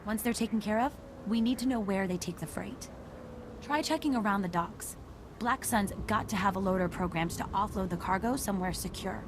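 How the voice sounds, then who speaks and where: A woman playing a businesslike undercover intelligence agent in a video game, brisk and matter-of-fact. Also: mid-range voice, background noise, lightly acted. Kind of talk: scripted video game dialogue.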